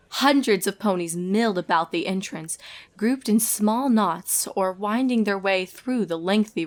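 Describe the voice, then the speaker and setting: A woman reading scripted narration of a comic story as the storyteller. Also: medium pitch.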